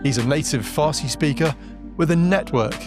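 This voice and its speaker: A man co-hosting a podcast, reading the show's introduction in a clear, announcer-like way. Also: fairly high pitch, normal speed, over music. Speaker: podcast co-host. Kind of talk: scripted show intro.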